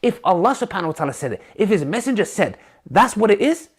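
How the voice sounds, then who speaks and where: Man, husky voice, lively swinging pitch, indignant and scolding. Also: fast talker. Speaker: debate clip speaker.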